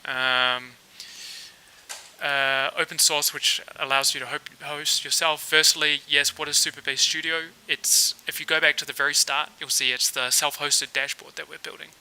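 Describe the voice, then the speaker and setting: Bright-voiced New Zealand man coding live. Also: fairly high pitch, moderate pace, smooth, poor audio. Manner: relaxed, thinks aloud with fillers while working. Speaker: developer livestream host. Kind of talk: casual live coding stream.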